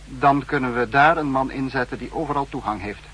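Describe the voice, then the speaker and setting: An actor playing a calculating spy chief, speaking with measured, superior authority in a 1960s radio drama. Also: male voice, mid-range voice, fairly bright tone, slightly rough. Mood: pondering, grave. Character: calculating, authoritative male spymaster, head of secret service. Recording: faintly noisy 1960s radio recording.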